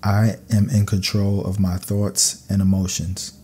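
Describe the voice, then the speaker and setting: A man narrating scripted sleep meditation affirmations in a calm, soothing, measured way. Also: low voice, a little hiss or hum.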